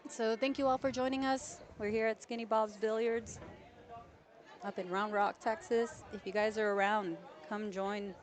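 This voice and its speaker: A woman hosting live pool coverage who chats warmly with her co-commentator and explains the rules of the match. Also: mid-range voice, steady tone, normal speed, a faint crowd. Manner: friendly, explains rules, laughs often.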